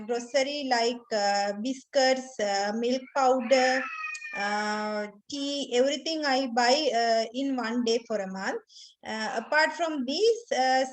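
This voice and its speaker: A woman's voice: sits high, low-quality recording. A woman in her thirties from Sri Lanka, answering questions as a candidate in a mock speaking test over a video call, hesitant and full of fillers. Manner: hesitant, frequent fillers, simple phrasing. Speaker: exam candidate.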